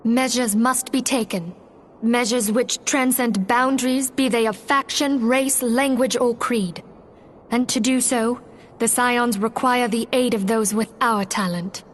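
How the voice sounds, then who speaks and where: An actress voices the earnest female leader of a secret fantasy order in a video game. Her voice sits a little high and is serene and poised, with solemn archaic wording. There is faint noise in the background. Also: steady tone, measured pace, close to an everyday voice. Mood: grave, resolute.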